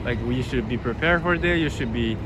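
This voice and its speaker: A man's voice: medium pitch, fairly quick. A man interviewing people on a city street, asking short questions and thanking them politely. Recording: loud street traffic noise.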